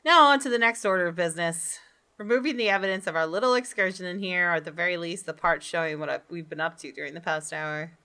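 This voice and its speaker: A woman's voice: fairly low pitch, fast talker, pushed and bright. A woman hosting a gaming video, reading visual novel text aloud and reacting expressively.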